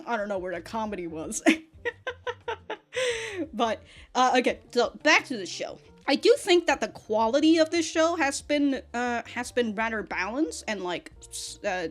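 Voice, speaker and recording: A woman giving a casual, unscripted review to viewers, giggly and rambling, often stammering through repeated false starts. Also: medium pitch. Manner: giggly, often laughing as she talks. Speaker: anime reaction and review host.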